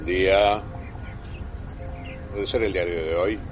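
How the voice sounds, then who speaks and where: A man playing a polite, ordinary gentleman customer in a radio play. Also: low voice, fairly even intonation, slow, over music.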